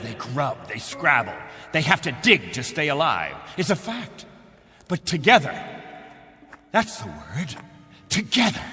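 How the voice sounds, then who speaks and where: An actor playing a desperate, controlling captain of a stranded colony in a radio drama, preaching with emphatic fervor. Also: male voice, fairly high pitch, sharp tone, long reverb tail, full character voice. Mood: insistent, impassioned.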